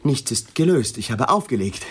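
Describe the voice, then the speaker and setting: An actor playing a harried, pleading bridegroom in a radio drama, telling of family quarrels in an agitated, halting way. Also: male voice, mid-range voice, big pitch swings, husky. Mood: exasperated. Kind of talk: radio drama monologue with mimicked dialogue.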